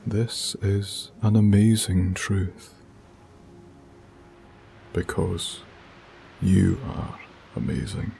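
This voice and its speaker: A man leads an ASMR guided meditation in a soft, hushed, soothing voice, speaking deliberately with long pauses. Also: low voice, gravelly, slight background noise. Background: music with binaural beats.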